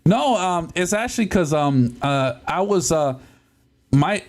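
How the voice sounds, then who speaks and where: Slow, laughing man chatting on a live stream. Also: a little high. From Puerto Rico. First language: Spanish. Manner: laughs often, stumbles over words, chatty and relaxed. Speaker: livestream host. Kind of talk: casual live-stream chat while repairing a console.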